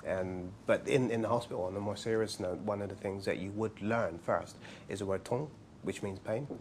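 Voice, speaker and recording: A man's voice: fairly low pitch, normal speed, darkish tone, a little hiss or hum. A man in his forties from England, a practitioner of Chinese medicine, talking casually in an interview at his clinic, explaining his work with light humor. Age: 40s.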